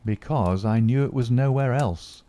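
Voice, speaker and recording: A man voicing a cool, confident male detective who speaks in a calm, dry, understated way. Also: medium pitch, unhurried, no echo, voice pushed up, lightly acted.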